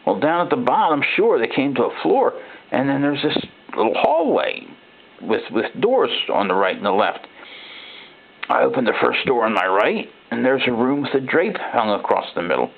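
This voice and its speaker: Through a thin, phone-like sound comes a frightened ordinary man , acted by a male narrator, recounting a horror story in the first person. His medium-pitched voice is creaky and gravelly. He speaks haltingly, with rattled pauses, like a survivor leaving a warning. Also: very animated. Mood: incredulous.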